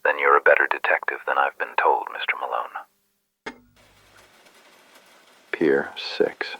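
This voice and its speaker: A man performs as an anonymous informant in a noir radio play. The voice is a breathy whisper. Each phrase is controlled and cryptic, carrying quiet menace. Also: a bass voice, steady tone, extremely slow, heavily acted, poor audio. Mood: cryptic, menacing. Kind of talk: noir radio drama dialogue.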